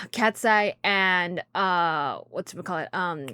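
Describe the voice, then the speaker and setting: A woman's voice: lowish voice, slow, pushed and bright, clean tone, dry recording, clean studio sound. A woman hosting a music reaction video, rambling casually and often losing her words mid-thought. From grew up speaking Spanish. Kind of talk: casual chat.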